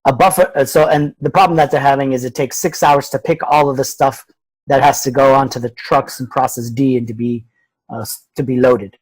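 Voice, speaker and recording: A man's voice: mid-range voice, fairly even intonation, brisk, darkish tone, breathy edge, no room sound, poor audio. A man speaking as a student on a video conference, talking through a practical example and checking his understanding. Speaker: student in a class. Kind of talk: tentative worked-example discussion.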